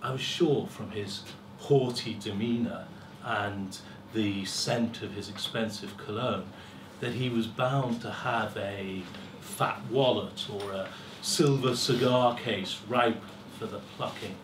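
Male reader, middle-aged, for live short fiction: theatrical, measured delivery in an echoey room, distant mic, slight noise. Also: lowish voice. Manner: theatrical, deliberate, with pauses and swelling emphasis. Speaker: story reader at a live festival. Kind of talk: dramatic first-person story reading. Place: small lecture room with seated audience. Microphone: far camera. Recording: roomy, distant camera mic, faint hiss.

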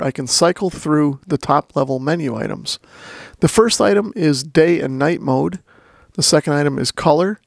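Methodical man with a slightly creaky voice. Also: on the high side, no echo, clean studio sound. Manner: methodical, plain-spoken. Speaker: radio reviewer and demonstrator. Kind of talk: step-by-step product walkthrough.

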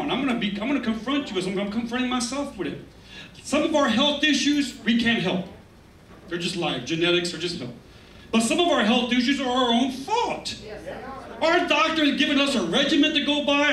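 A man's voice: very high-pitched, fairly bright tone. A middle-aged male pastor preaching a sermon in a conversational, emphatic way, with asides to the congregation, on a church stage with a handheld mic. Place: church stage, medium hall, indoors. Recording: echoey room, camera set far back.

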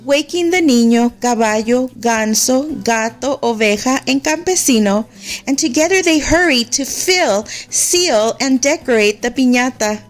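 Lady reading a kids picture book out loud, high voice, takes it slow, bit of music behind. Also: lively intonation. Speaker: storybook read-aloud narrator. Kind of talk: expressive scripted children's story narration.

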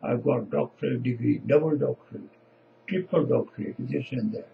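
A man in his seventies, a native Punjabi speaker with a Punjabi accent, preaching informally to camera in halting, emphatic short phrases. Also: medium pitch, gravelly, lo-fi sound, a little hiss or hum. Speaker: lay preacher. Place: small indoor home office.

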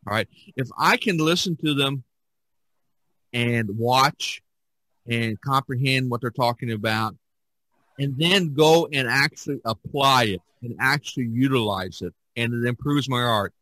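Bright-voiced man chatting, halting delivery. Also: mid-range voice, no room sound, poor audio. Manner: halting, with repeated words and frequent "you know". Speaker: podcast host. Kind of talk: casual discussion of art practice.

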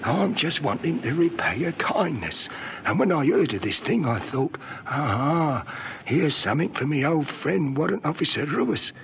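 An old down-and-out informant in a vintage radio drama. His voice is high and creaky, swinging up and down as he rambles eagerly and repeats himself. The old recording is noisy. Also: big pitch swings, a bit bright, heavily acted. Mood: friendly, chatty. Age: older. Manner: rambling, halting, colloquial speech with repeated words. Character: garrulous, eager old hobo informant named Joe.

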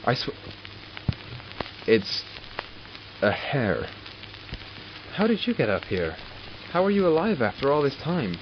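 An arrogant, exasperated doctor in an audio drama, played by a man. He has a medium-pitched voice and talks in a clinical, sardonic way, often as if to himself. The sound is dull, with clear background noise. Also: sing-song, slow pace, long pauses. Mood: exasperated, irritated. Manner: clinical, sardonic, self-talking. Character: arrogant, exasperated male doctor studying supernatural captives. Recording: muffled, with loud background noise.

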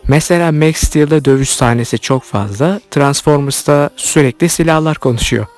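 A man's voice: a little high, moderate pace, darkish tone. A man hosting an online video, delivering scripted commentary as narration. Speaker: YouTube host commenting on cartoons. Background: background music.

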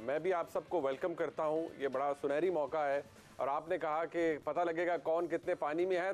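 A man's voice: fairly high pitch, darkish tone. A man in his thirties hosting a live cricket broadcast from a TV studio, speaking formally with many fillers in his long questions. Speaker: sports show host.

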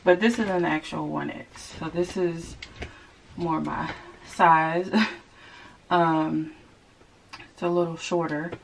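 A woman's voice: low-pitched, steady tone, creaky. Chatty woman hurrying through a haul. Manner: chatty, rushed, addresses viewers as y'all. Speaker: vlogger. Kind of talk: casual clothing haul chat. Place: walk-in closet at home. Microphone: camera set up across the closet. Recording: hissy home video.

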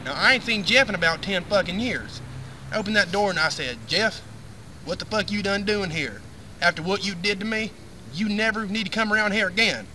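A man's voice: high-pitched, a little fast, sharp tone. A man playing a crude, drunken redneck storyteller with a brash, profane, drawling Southern US accent in a comic monologue. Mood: rambling, indignant. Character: crude, drunken redneck man spinning rambling foul-mouthed tales. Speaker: storytelling host character. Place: outdoors on a wooden deck in woods. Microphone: camera a few meters away. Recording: distant camera mic with faint outdoor noise.

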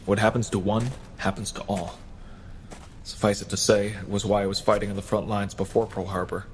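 An actor plays a solemn male vigilante and mentor in a radio play. The voice is low and measured, with a principled gravity, over faint ambient noise. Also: little pitch movement, close to an everyday voice. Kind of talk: audio drama acting.

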